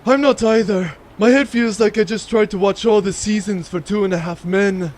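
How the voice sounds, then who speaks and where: Man as a high-voiced, groggy small-time outlaw. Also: darkish tone, a little hiss or hum, close to an everyday voice. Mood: groggy, queasy. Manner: groggy, halting speech. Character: dazed, queasy male small-time outlaw, wisecracking.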